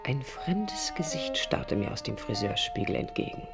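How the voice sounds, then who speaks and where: An actress playing a disillusioned young divorced woman, recounting her past in a hushed, reflective, confiding radio play monologue. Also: a bass voice, sing-song, normal speed, heavily acted. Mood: resolute. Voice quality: husky, breathy. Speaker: narrator and protagonist. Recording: music under studio radio drama. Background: music.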